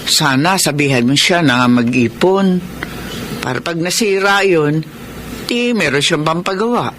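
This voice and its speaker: A middle-aged Filipino mother, gentle and worried. Her voice is on the low side and halting, as she speaks in a radio drama. Clear noise sits behind her. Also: lively intonation. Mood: concerned. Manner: halting, hesitant. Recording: noisy radio drama audio.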